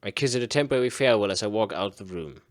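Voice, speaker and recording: A man's voice: on the low side, animated, racing, no echo, studio quality. A man narrating a visual novel aloud during a playthrough, with a dramatic read-aloud delivery. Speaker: gaming video creator.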